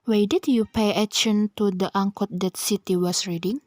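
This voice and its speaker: A woman's voice: mid-range voice, fairly even intonation, moderate pace, a little hiss or hum, close to an everyday voice. A young woman with an Indonesian accent playing a two-faced schoolgirl in an amateur radio drama. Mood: conspiring. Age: young adult.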